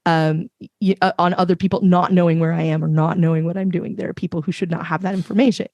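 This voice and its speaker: Woman panelist, low voice with lingering roughness, emphatic and passionate, dry close sound. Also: little pitch movement, fast talker, darkish tone, no echo, highs cut off. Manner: passionate, emphatic, long run-on points. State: recovering from illness, voice a bit rough. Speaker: podcast panelist. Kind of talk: casual panel discussion.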